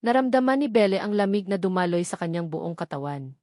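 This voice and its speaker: Female story narrator, medium pitch, scripted romantic drama, very little room sound. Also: animated, a little fast, darkish tone, no echo, clean studio sound.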